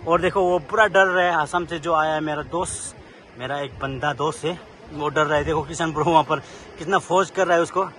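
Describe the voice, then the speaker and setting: A young man in his twenties talks fast and loose to his phone camera while scrambling up a rocky hilltop beside a road. His voice sits high and bright. Faint outdoor noise hangs behind him. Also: steady tone. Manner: chatty, narrating while climbing a rock. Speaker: vlogger. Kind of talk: casual vlog narration.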